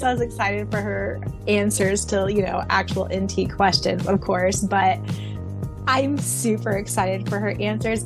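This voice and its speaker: A woman co-hosting a fan podcast in casual chat, gushing and excitable, laughing often. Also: fairly high pitch. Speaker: podcast co-host.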